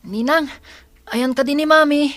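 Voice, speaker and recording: Woman playing an eager schoolboy, high and unhurried. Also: fairly bright tone, no echo. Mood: excited, urgent. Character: excited schoolboy calling for his mother. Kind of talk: Ilocano radio drama acting.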